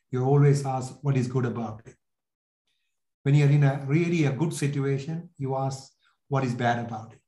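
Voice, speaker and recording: A man in his sixties with a Sri Lankan accent speaks in a relaxed, mellow tone. He recounts his experiences reflectively, often pausing to rephrase. The sound is noticeably echoey, as in a live room. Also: mid-range voice. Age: 60s. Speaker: podcast guest, entrepreneur. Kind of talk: conversational interview, telling life story.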